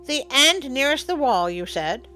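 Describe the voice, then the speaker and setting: A woman's voice: high-pitched, big pitch swings, pushed and bright, voice pushed up, full character voice. Casting: small, fierce male mongoose hero played by a woman, impatient storybook scolder, slightly raised voice, music underneath. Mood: exasperated, commanding. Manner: brisk, scolding.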